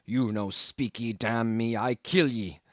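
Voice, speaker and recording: A man voicing a gruff, menacing harpooneer in a storybook, with guttural broken pidgin English. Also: medium pitch, a little slow, no echo, clean studio sound, lightly acted.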